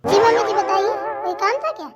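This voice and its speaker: A woman plays a young daughter-in-law with a very high, girlish voice. She is obedient and deferential, answering dutifully in a domestic comedy skit. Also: a little fast, lo-fi sound, music in the background. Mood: dutiful, informative. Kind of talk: family comedy skit acting.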